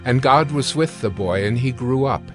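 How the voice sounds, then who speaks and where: A man's voice: medium pitch, a bit bright. Male scripture narrator over music. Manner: formal, measured. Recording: background music under the voice.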